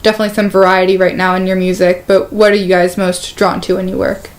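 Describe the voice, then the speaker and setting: Woman interviewer, mid-pitched voice. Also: flat delivery, fast talker. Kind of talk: casual interview questions.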